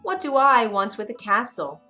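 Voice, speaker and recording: A woman's voice: on the high side, big pitch swings, moderate pace, pushed and bright, soft background music, voice pushed up. A woman voicing a sly, smug little imp man in a storybook tale, with a taunting, emphatic delivery. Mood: demanding, scornful.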